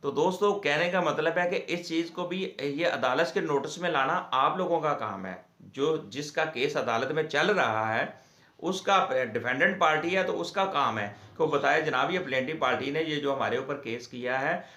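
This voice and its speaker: A man with a Pakistani accent gives an informal legal explainer in a halting, repetitive, explanatory way, as the host of an advice channel. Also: a little high, bright, forceful tone. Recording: echoey room.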